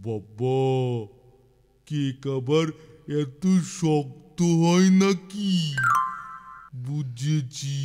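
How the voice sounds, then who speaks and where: Slow, high-voiced sly cartoon boy. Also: long reverb tail. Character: sly scheming boy prankster, plotting and gloating. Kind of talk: exaggerated children's cartoon acting.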